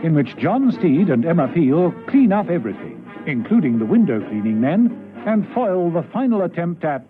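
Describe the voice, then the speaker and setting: Male spy-serial narrator, lively intonation, dramatic scripted read, narrow vintage broadcast sound. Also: on the high side, normal speed. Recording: old radio broadcast, phone-like band, faint music.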